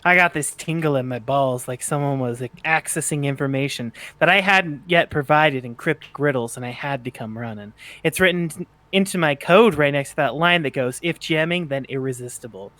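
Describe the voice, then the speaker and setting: A man voicing a laid-back, deadpan young bird-like spirit guide in an amateur fan fiction reading. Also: on the high side, brisk, hardly any pauses, no room sound, close to an everyday voice. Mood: smug, deadpan.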